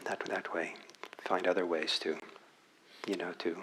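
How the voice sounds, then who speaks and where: A lower-voiced man giving a meditation talk, unhurried and reflective. He speaks haltingly, often breaking off and restarting his phrases as he thinks aloud. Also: little pitch movement, background noise. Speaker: meditation teacher. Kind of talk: dharma talk. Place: meditation hall.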